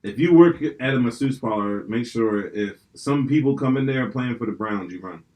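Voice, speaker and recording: A man's voice: medium pitch, moderate pace, low-quality recording. A young man from Pennsylvania hosting a podcast, telling rambling stories in casual, profane chat. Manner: profane, rambling, animated storytelling. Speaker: podcast host.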